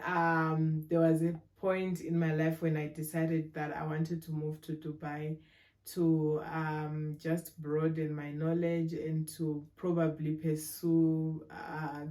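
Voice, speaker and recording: Low, mellow-voiced woman in her twenties. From Zimbabwe. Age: 20s. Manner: thoughtful, measured, with frequent fillers and restarts. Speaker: interviewee. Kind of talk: relaxed conversational interview answers.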